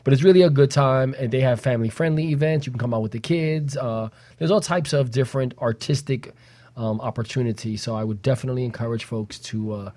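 A man chatting casually as co-host of a radio talk show. His voice sits at an average male pitch, and he talks in a slangy, teasing, playful way. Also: fast, a little mellow.

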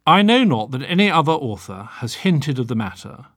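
A man reading a literary audiobook in an expressive, wry, theatrical manner. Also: mid-range voice, moderate pace, dry recording, studio quality. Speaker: audiobook narrator.

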